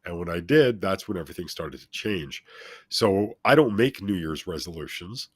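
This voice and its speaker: A man with a very low, authoritative voice holds forth as a self-help guest. He addresses listeners directly and instructively, with emphasis on his points. The sound is dry, with little trace of a room. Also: animated, moderate pace, slightly rough, no echo. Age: middle-aged or older. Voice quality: deep, authoritative voice. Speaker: talk show guest, self-help speaker. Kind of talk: motivational interview talk, speaking at length.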